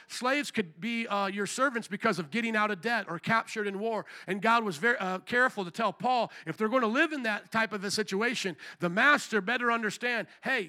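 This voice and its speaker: Male pastor, high bright voice, preaching that swells into loud calls to the congregation. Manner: rises to loud shouted emphasis. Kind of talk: sermon. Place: church service.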